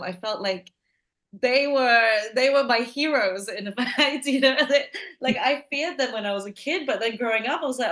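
Guest director, woman from Malaysia, animated, halting restarts, adult. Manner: animated, laughing, hesitant restarts, lively pitch jumps. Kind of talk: interview answers.

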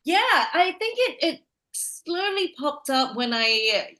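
Animated woman from Malaysia. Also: takes it slowly. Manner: animated, laughing, hesitant restarts, lively pitch jumps. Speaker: film director guest. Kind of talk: interview answers.

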